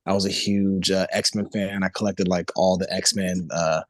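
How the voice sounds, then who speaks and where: A man in his thirties with a very deep, low voice, chatting as an interview guest. He rambles loosely, circling back with fillers and restarts. The sound is dry and close, with little room around it. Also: flat delivery, measured pace, dry recording. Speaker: voice actor guest. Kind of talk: casual interview chat.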